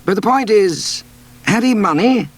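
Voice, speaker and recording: A man reading a storybook aloud, voicing a calm, level-headed country doctor who asks pointed questions in a raised voice. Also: high-pitched, measured pace, pitched above the performer's own voice. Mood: measured.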